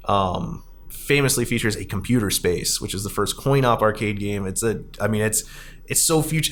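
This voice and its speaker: A man's voice: medium pitch, fairly bright tone. Rambling man hosting a casual podcast. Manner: rambling, halting, self-correcting. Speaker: podcast host. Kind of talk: casual discussion of films and arcade games.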